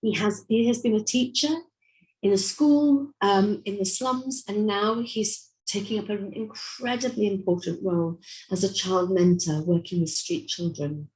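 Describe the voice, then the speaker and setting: A woman presents at an online seminar in a measured, formal way. Her voice sits at a middle pitch. She pauses now and then to restart a word. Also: steady tone, a little mellow, rough recording. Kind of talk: conference presentation.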